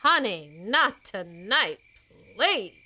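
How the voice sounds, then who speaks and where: A woman's voice: a little high, sing-song, extremely slow, pushed and bright, no echo, heavily acted. A woman voicing a saucy parrot that mimics flirty human talk in an audio drama. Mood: coy, squawky.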